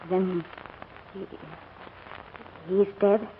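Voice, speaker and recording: A woman's voice: medium pitch, little pitch movement, very slow, phone-quality sound. Through a thin, slightly noisy old broadcast comes a young Frenchwoman. She is earnest and guarded, speaking in the manner of 1940s radio drama. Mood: solemn.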